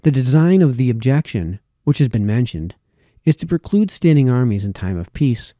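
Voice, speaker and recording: A man reads a formal essay aloud in a very soft-edged, dark and mellow voice. His phrasing is measured and deliberate, yet the pitch rises and falls in a lively way. The sound is dry and close, with no room echo. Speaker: amateur reader of historical texts.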